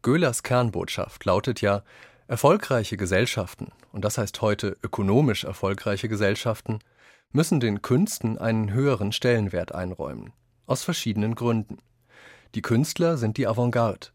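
A man with a fairly low voice reads quoted passages for a radio essay. He is deliberate and articulate, keeping a measured delivery. The recording is dry and close. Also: animated, no room sound. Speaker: reader of quotations in a radio essay. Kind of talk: scripted reading, radio essay.

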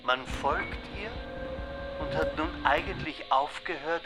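A man's voice: on the high side, slow pace, low-quality recording. An actor playing a brooding man who speaks portentously in a literary radio drama. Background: prominent music.

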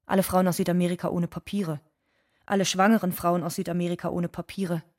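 A low-pitched actress as a bitter migrant woman from South America, living without papers. She delivers a radio drama monologue with wounded deliberateness. Her phrases return and build insistently. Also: flat delivery, darkish tone, lightly acted.

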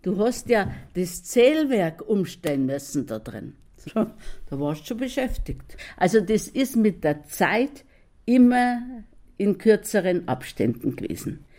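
A woman's voice: mid-range voice, very animated, unhurried, dark tone. A woman telling a chatty anecdote in an interview. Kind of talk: recalled anecdote.

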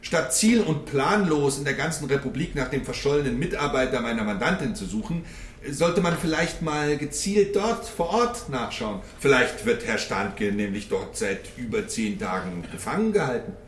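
An actor playing an eloquent, combative defence lawyer with a formal, rhetorical, needling manner in a radio courtroom drama. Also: male voice, mid-range voice, animated, moderate pace, natural voice. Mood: accusing. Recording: echoing courtroom, faint background noise.

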